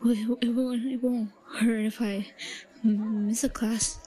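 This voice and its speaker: A woman's voice: on the high side, little pitch movement, slow, warm tone, low-quality recording. A woman playing a timid, lovestruck yandere schoolgirl in an audio roleplay, stammering and murmuring breathily with hesitation. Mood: hesitant, anxious. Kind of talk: intimate audio roleplay acting.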